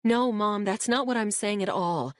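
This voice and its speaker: A woman voicing a grieving adult daughter who speaks in a steady, earnest way. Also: mid-range voice, moderate pace, no echo, clean studio sound, voice pushed up, natural voice.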